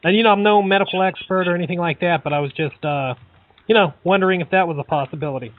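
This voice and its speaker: A man making a deadpan prank phone call, hesitant and stammering as he feigns earnest sincerity. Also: sits high, fast talker, no room sound. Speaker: prank caller.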